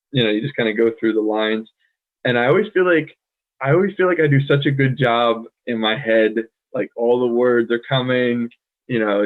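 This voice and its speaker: A man from the United States co-hosting a casual chat, thoughtful and pausing often with "um". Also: medium pitch. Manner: thoughtful, many "um" fillers. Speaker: podcast co-host. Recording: tinny phone-like line.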